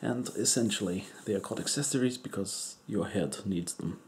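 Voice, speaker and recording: A man voicing an eccentric, crude foreign male doctor in a deadpan whisper. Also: on the low side, slight background noise, natural voice. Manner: whispery, slow, deadpan joking. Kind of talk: comedic ASMR roleplay.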